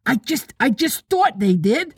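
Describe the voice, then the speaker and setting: An excitable little storybook boy , put on by an adult reader, butts in eagerly. The voice jumps high and swoops about, childlike and slightly husky. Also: a little slow, no echo, clean studio sound. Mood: excited. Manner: eager, exclamatory childlike delivery.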